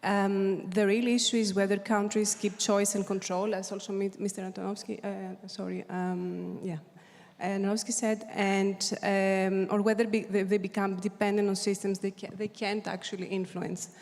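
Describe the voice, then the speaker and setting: A woman panelist delivers prepared points at a policy conference, often pausing with fillers and restarting phrases. Her voice sits at an ordinary pitch and carries a long reverb from the hall. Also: level tone, a little mellow. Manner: hesitant, many fillers and restarts.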